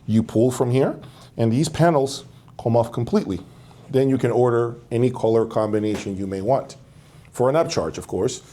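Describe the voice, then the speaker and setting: Mellow-voiced man presenting audio gear. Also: medium pitch, normal speed. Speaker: host of an audio review channel. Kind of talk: casual product presentation to camera.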